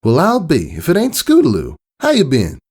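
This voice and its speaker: Man putting on a folksy Southern farm mare, raised pitch. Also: wildly swooping pitch, moderate pace, a little mellow, husky, heavily acted. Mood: friendly surprise. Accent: American Southern. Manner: folksy drawl. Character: grown farm mare, hearty, plain-spoken cowgirl pony. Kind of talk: storybook character voice.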